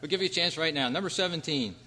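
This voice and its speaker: A man hosting a trivia quiz at a club meeting, reading out questions in a measured, announcing way. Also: on the high side, pushed and bright. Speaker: quiz host. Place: hall at a convention club meeting. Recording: reverberant hall, clear background noise and hum.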